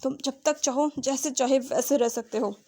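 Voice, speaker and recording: A woman voicing a calm, possessive young husband who speaks slowly and softly to soothe. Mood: soothing. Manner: slow, low, soothing and hushed.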